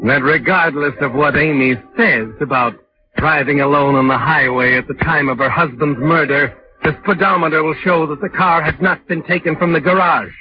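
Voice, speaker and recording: Sardonic actor as old-time radio mystery narrator. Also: male voice, fairly high pitch, steady tone, normal speed, close to an everyday voice. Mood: knowing, suspenseful. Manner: sardonic, addresses the character as "you". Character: sardonic, ominous all-knowing male narrator of crime tales. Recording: muffled vintage radio recording with music.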